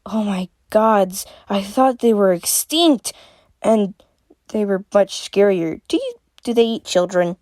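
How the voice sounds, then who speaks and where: A woman voicing a naive, excitable young girl in a storybook, gushing breathlessly with wonder. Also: medium pitch, slow pace, no echo, clean studio sound, natural voice. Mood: excited, breathless.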